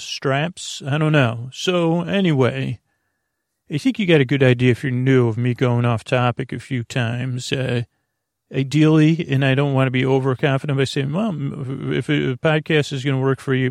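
Creaky-voiced man rambling at bedtime. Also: dry recording, clean studio sound. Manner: meandering, halting, trails off and circles back; reassuring, low-pressure, addresses the listener directly. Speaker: host of a bedtime sleep podcast. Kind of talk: rambling bedtime monologue to help listeners fall asleep.

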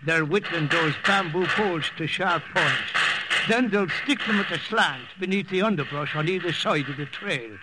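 A man's voice: fairly high pitch, moderate pace, very noisy, close to an everyday voice. An actor playing a blustery, folksy older Irish priest who has lost his false teeth, in an old-time radio drama. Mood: brisk.

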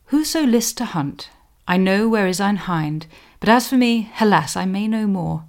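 A woman presenting a scripted history explainer in a measured, scholarly manner, giving emphasis to quotations. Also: medium pitch, lively intonation. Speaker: podcast host, historian.

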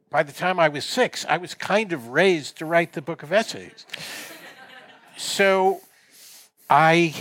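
A man's voice: a little high, a bit bright. An older man, an actor, giving a reflective interview answer in a thoughtful, hesitant way, often searching for words.

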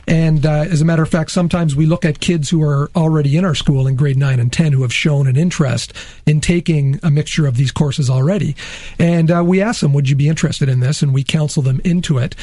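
A middle-aged man with a slightly raspy voice, an interview guest from a school, talking with many hesitations and restarts. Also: fairly high pitch, brisk, warm tone, no echo. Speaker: teacher at an arts-focused high school.

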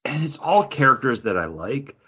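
Man, podcast co-host, rambling, lively swooping pitch, slightly husky, dull lo-fi audio. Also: normal speed. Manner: rambling, animated, frequent "like" and restarts. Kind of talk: casual chat.

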